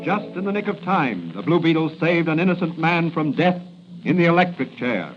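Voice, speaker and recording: A man's voice: a little high, sing-song, normal speed, loud music behind the voice. A man narrating a 1940s radio serial as its announcer, with dramatic scripted delivery. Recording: muffled, hissy 1940s radio recording.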